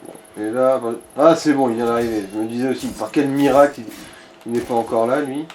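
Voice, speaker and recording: A man's voice: medium pitch, fairly even intonation, brisk, a little mellow. A man giving casual, blunt game commentary and advice to a player, with crude slang. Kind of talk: casual let's play commentary. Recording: light background noise.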